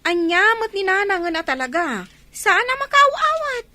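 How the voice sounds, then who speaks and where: A woman's voice: extremely high pitch, big pitch swings, normal speed, sharp tone, no echo, full character voice. A woman acting a nagging village wife who worries about her family in a radio drama. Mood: complaining.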